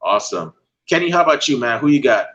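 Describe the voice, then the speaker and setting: A Guyanese man hosts a podcast in a loose, laid-back way, talking easily. His voice sits at an ordinary middle pitch. The audio is a little muffled and lo-fi. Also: fast. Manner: relaxed, laid-back, uses y'all. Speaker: podcast host. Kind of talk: casual chat.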